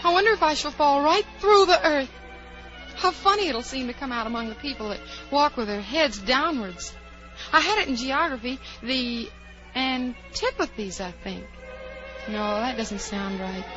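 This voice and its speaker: Curious, dreamy young girl, high and very lively, chattering to herself, vintage radio drama. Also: moderate pace, music in the background. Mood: wondering, chatty. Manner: wondering aloud to herself. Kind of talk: 1940s radio acting. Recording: muffled old 1940s radio recording.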